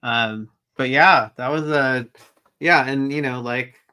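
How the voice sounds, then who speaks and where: A man's voice: mid-range voice, slow pace, sharp tone, clear, resonant tone. A man co-hosting a toy podcast, laid-back with short casual replies. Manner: laid-back, brief replies, says y'all. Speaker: toy news podcast co-host. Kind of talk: casual chat.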